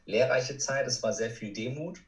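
Male coach, middling-low pitch, earnest and emphatic podcast guest, roomy echo. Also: moderate pace. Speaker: interview guest, motivational speaker and coach. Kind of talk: reflective personal account in a podcast interview. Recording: roomy, echoing sound.

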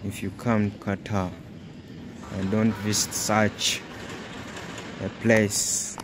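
A man vlogging a walking tour of a large indoor shopping mall, slowly naming the brands one by one as he films on his phone. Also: fairly low pitch, level tone, background noise. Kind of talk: walking tour vlog narration.

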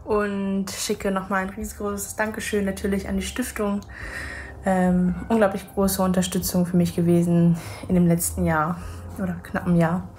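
A woman's voice: medium pitch, flat delivery, normal speed, noisy background. A woman of twenty-five, a student introducing herself to camera. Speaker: student and yoga teacher. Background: background music.

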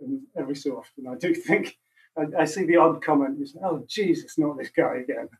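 Soft-spoken male guest, 30s, fairly high voice, sits far from his video call mic. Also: brisk. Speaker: guest musician. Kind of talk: casual chat over video call. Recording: distant from the mic.

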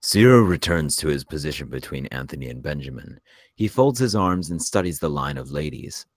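A man's voice: rumbling low, expressive, normal speed, dry recording, clean studio sound. A man narrating the stage directions of a screenplay at a table read.

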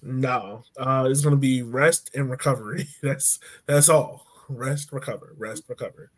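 A man's voice: medium pitch, fairly even intonation, a little slow. A man in his thirties hosting a sports podcast from home in a casual, joking style. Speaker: podcast host. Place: room at home, indoors.

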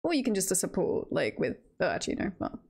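A woman streamer chatting casually to her live audience in a nervous, excited, giggly and rambling way. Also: lowish voice, fast talker, gravelly, husky.

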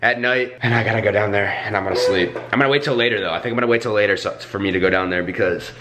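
A man's voice: fairly low pitch, very fast. Young guy vlogging at home, hyped and playful, camera held out at arm's length. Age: young adult. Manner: hyped, playful, whiny when pleading. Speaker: YouTube vlogger. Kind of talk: energetic casual vlog talk. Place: house interior, bedroom and hallways. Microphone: handheld camera at arm's length.

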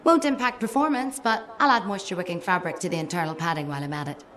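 An actress voicing a brisk, sardonic mechanic in a video game, with deadpan, teasing delivery. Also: female voice, on the low side, close to an everyday voice. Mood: dry, brisk. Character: dry, brisk, sardonic female suit mechanic. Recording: game audio with ambient background noise.

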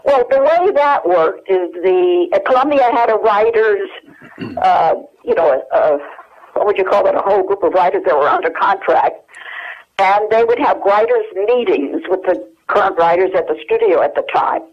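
A woman's voice: mid-range voice, expressive, moderate pace. A woman in her eighties, speaking as an interview guest by telephone, hesitant and searching her memory with many fillers. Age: 80s. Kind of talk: phone interview. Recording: muffled telephone line.

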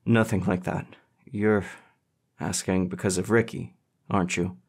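A man's voice: sits low, steady tone, a little slow, a little mellow. A man voicing an anxious, sweet and sensitive teenage boy in audiobook dialogue. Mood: upset.

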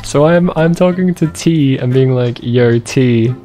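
A man's voice: medium pitch, a little slow, dark tone. A young man chats like a game streamer with a friend. His talk is joking and peppered with swearing, recorded on a desk microphone indoors with music underneath. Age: young adult. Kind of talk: casual gaming banter with a friend. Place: indoor room at home. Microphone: desk microphone on boom arm, wearing headset.

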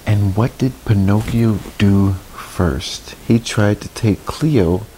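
A man in his thirties reading a children's storybook aloud, deliberately and expressively, with friendly asides. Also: fairly low pitch, warm tone, low-quality recording. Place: small indoor room, seated on a couch. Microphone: clip-on lapel mic. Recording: faint background hiss.

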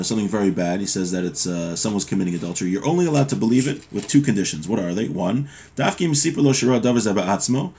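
A man's voice: medium pitch, a little mellow. A man in his thirties giving an informal lesson on religious law, reading from a text and explaining it step by step. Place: small home room with bookshelves, indoors.

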